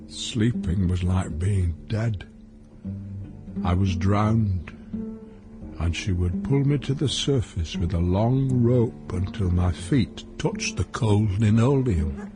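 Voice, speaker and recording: Slow-speaking, meek old man. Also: on the low side, over music. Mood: bleak, reflective. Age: older. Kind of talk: radio play acting, with reflective monologue.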